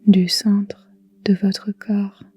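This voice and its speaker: Slow, breathy woman guiding relaxation. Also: medium pitch. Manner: soft, breathy, whispery delivery; slow, calm, soothing, with long pauses. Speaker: yoga nidra guide. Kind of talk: guided relaxation meditation.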